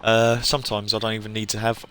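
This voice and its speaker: Bright-voiced man chatting earnestly on a livestream. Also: on the low side, completely flat delivery, fast talker. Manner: earnest, effusive, repeats himself for emphasis. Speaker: livestreamer playing a video game. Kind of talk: casual livestream chat with viewers.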